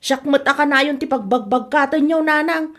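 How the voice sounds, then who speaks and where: A woman's voice: sits high, moderate pace, a bit bright. A woman with an Ilocano Filipino accent playing a whiny, lazy, greedy younger sibling in a comedy drama. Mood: whiny, reluctant.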